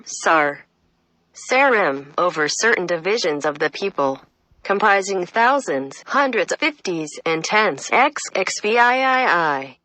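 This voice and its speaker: A woman reading a reference text aloud, deliberate and flat, spelling out citations. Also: on the low side, no room sound, rough recording. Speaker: reader.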